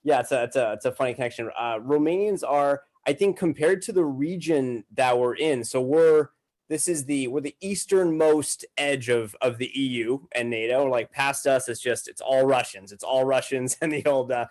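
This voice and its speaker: A man's voice: medium pitch, moderate pace, darkish tone. Young American man, casual podcast guest. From Massachusetts, United States. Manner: informal, slangy. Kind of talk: casual conversational storytelling.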